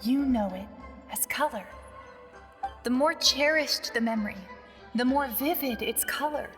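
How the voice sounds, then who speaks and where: A solemn, gentle mentor from a fantasy game, speaking as a memory. The voice is high and clear-cut bright, with measured earnest phrasing, and it rings in a long reverb. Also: female voice, faint music behind. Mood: earnest, instructive. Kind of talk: video game voice acting.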